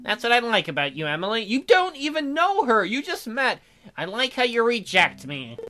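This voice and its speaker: A man voicing a lonely, socially awkward male cave bear in a visual novel, halting and self-pitying. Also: extremely high pitch, huge pitch swings, pushed and bright, slight background noise, voice pushed up, exaggerated voice.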